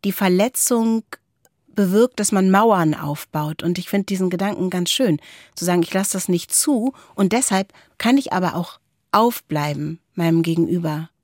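A woman's voice: lowish voice, moderate pace, a little mellow, no echo, clean studio sound. A woman hosting a podcast in a reflective, conversational way. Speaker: podcast host.

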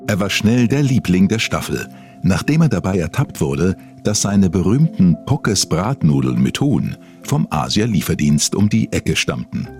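A man with a very deep voice narrates an investigative documentary. His scripted delivery moves up and down with plenty of melody, and music plays underneath. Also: very animated, unhurried, a little mellow. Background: background music.

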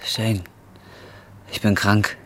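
A man's voice: fairly low pitch, flat delivery, very slow, very noisy. An actor playing a down-and-out, jealous man in his twenties with coarse, blunt street talk in a radio drama. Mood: desperate. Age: 20s. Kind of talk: naturalistic radio drama acting.

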